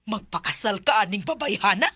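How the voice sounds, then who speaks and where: A woman's voice: medium pitch, animated, a little fast, sharp tone, breathy edge, faint music behind. A woman playing a scornful domineering mother. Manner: scornful, scolding. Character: domineering disapproving mother, middle-aged woman. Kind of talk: radio drama acting.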